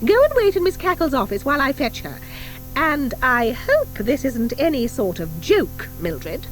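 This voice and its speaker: A woman's voice: high voice, big pitch swings, normal speed, faint music behind, voice pushed up, rough recording. A woman voicing a stern, icy schoolmistress witch in an audiobook, with a clipped, disdainful and sarcastic manner. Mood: nasty, commanding.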